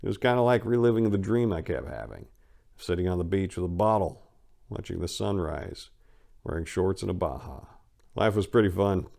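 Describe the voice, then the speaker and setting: Low-pitched American man reading a gritty story. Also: animated, normal speed, creaky, a little mellow. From United States. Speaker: audiobook narrator. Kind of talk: dramatic reading of a first-person memoir.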